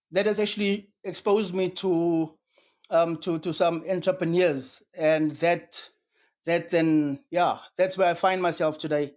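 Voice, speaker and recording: A South African man answering interview questions as a business co-founder, hesitant and filling gaps with "um" over a call line. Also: sits high. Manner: hesitant, many fillers and restarts.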